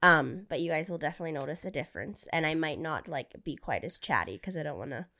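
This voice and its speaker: A young woman co-hosting a true crime podcast, hoarse and raspy from a cold, chatting casually and laughing easily. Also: low-pitched, lively intonation, fast talker, sharp tone. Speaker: podcast co-host. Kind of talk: casual chat.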